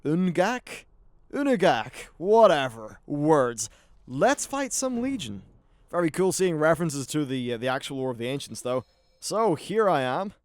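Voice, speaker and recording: A man's voice: fairly high pitch, big pitch swings, a little slow, no echo. A man giving casual, informal let's-play commentary on an online fantasy game, mildly sweary as he reacts to what unfolds. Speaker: gaming YouTuber.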